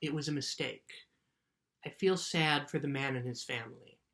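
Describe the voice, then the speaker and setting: Actress as a patient mom explaining stuff to a kid, calm, measured, storybook reading. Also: female voice, very deep voice. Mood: gentle, serious. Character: calm, patient mother explaining to her child. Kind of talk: dramatic children's book reading.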